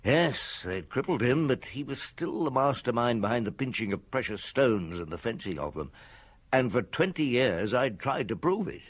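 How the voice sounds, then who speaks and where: Radio drama: seasoned, patient detective chief played by a man, middle-aged, wry, with very expressive intonation. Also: medium pitch, moderate pace, close to an everyday voice. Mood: wry, reminiscing. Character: Maigret, seasoned, patient French police chief inspector.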